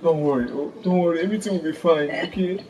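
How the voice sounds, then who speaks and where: A man's voice: on the high side, fast, crowd noise, natural voice. An actor with a Nigerian accent playing an emotional man in a film drama, by turns tender and commanding. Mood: concerned, pleading.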